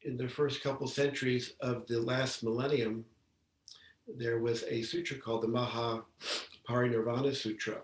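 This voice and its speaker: A man giving a measured Zen dharma talk as a teacher, speaking haltingly and deliberately with long pauses. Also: mid-range voice, little pitch movement. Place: indoor room, talk given over video call. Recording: roomy, echoey, distant microphone on video call.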